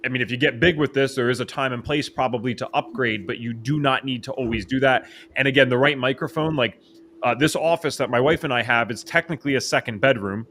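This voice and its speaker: A man hosting a podcast interview from a home office, talking in an excitable, fast way. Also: medium pitch, slight background noise. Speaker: podcast host. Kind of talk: casual interview chat. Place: home office, empty spare bedroom. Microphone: studio microphone.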